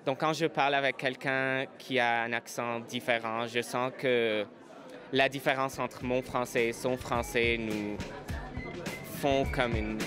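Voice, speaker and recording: Man, Arabic-influenced French accent, hesitant interviewee, clear music bed behind. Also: medium pitch, fairly even intonation, measured pace. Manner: hesitant, searching for words. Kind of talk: reflective testimony. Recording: music in background.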